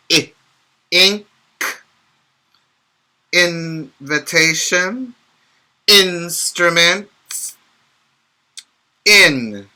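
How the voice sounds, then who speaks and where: A male teacher with a very bright voice reads a storybook aloud to preschoolers, pausing to explain and to ask the children questions. He talks very slowly and clearly, pitched slightly high, as if speaking to a virtual class. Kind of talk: reading a picture book aloud and teaching letter sounds to small children. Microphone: home video recording for virtual class.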